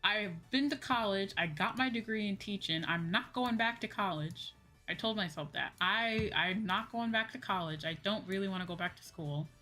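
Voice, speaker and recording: A woman's voice: medium pitch, moderate pace, bright, forceful tone. A young woman streamer chatting casually on a livestream, rambling and repeating her phrases for emphasis. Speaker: VTuber streamer. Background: background music.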